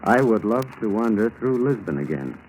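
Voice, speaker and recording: Determined, low-voiced American FBI agent. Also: male voice, sing-song, normal speed, darkish tone. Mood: intent, determined. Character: determined, methodical male FBI agent on a case. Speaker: actor in a radio crime drama. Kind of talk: 1940s radio acting. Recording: noisy 1940s radio broadcast recording.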